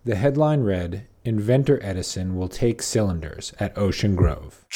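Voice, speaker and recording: A man's voice: lowish voice, normal speed, dark tone. A man narrating a scripted story feature as host, with wry, dry asides.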